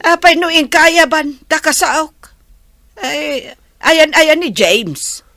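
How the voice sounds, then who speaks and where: A woman plays a nosy middle-aged Filipino village mother with a bright, sharp voice that swings up and down. She draws out exclamations slowly and theatrically in a radio comedy. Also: high voice, expressive, no echo, studio quality, heavily acted. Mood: demanding. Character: excitable nosy village mother, folksy and scheming. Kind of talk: Ilocano comedy radio drama acting.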